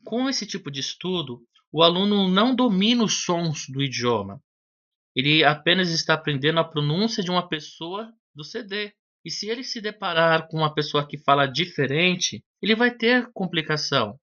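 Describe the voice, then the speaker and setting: Man, teacher, didactic explanation, bright tone, dull low-fi recording. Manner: methodical, didactic, addresses the viewer directly. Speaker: English teacher. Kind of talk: explanatory lesson to camera. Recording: dull lo-fi video audio.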